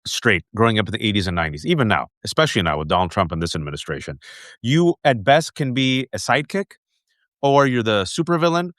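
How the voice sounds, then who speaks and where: A man in his forties hosts a conversational interview. He tells lively personal stories, often stopping for asides. His voice sits mid-range, and it is recorded dry with no room echo. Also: medium pitch. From United States. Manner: animated storytelling with asides. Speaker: host.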